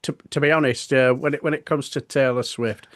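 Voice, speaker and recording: A man's voice: mid-range voice, steady tone, a little fast, fairly bright tone, no room sound, clean studio sound. A man in his fifties chatting casually on a podcast, rambling and restarting his sentences. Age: 50s. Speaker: podcast co-host. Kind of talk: casual chat.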